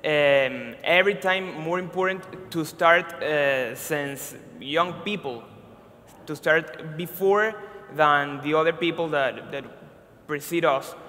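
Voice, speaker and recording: A man, his voice bright and fairly high. He hesitates and hunts for words. A long hall echo and faint noise surround him. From Venezuela. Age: 20s. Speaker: student activist panelist.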